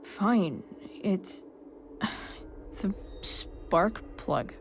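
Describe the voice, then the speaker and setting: Game role: wounded teen girl, wry and guarded, creaky voice, unhurried, faint noise behind. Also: mid-range voice, lively intonation, heavily acted. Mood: wry, exasperated. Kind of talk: naturalistic video game acting.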